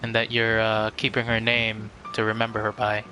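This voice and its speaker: A man hosting a live game stream, chatting casually and politely with viewers in a halting way, searching for words. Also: fairly low pitch, completely flat delivery, bright, forceful tone, faint music behind, low-quality recording.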